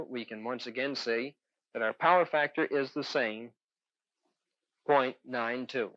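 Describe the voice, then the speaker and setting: A man's voice: mid-range voice, fairly bright tone, no room sound. A man lecturing as an electronics instructor in a 1970s instructional film, explaining methodically step by step. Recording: dull, dry 1970s film soundtrack.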